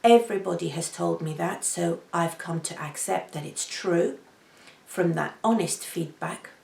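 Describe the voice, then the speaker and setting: A middle-aged woman speaks very softly and unhurriedly, with a gravelly edge to her fairly low voice. She talks to the camera from a seated spot in her living room, and the recording carries a noticeable room echo. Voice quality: very soft voice. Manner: speaks slowly and gently, gestures with both hands. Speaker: vlogger. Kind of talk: reflective personal talk to camera. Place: home living room, indoors. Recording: roomy echo.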